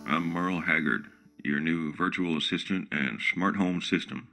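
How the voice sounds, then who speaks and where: A man's voice: deep voice, normal speed, vocal fry, bright, forceful tone, soft background music, exaggerated voice. An actor playing a folksy smart home assistant with a country singer's persona, who keeps boasting about his own titles. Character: folksy country-singer smart speaker, male, middle-aged, self-promoting. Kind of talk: comic radio play.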